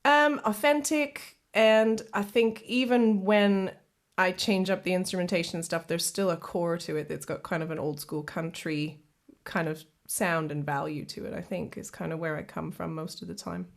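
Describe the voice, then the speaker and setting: Canadian-born woman singer-songwriter, slightly low voice, chatty, laughs a lot, stumbles into sentences. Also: steady tone, moderate pace, vocal fry. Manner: chatty, laughs often, repeats words when starting. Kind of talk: casual interview chat.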